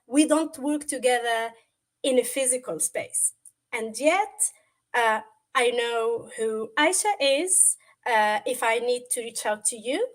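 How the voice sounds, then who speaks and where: A woman whose first language is Arabic, explaining a tech topic on a livestream in a conversational way, with everyday examples and a lot of fillers. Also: on the high side. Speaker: guest presenter.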